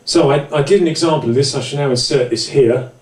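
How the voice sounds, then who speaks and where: A man's voice: mid-range voice, quick pace. Echoey, distant man presenting a tutorial. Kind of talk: informal hands-on tutorial narration. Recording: roomy echo, distant microphone.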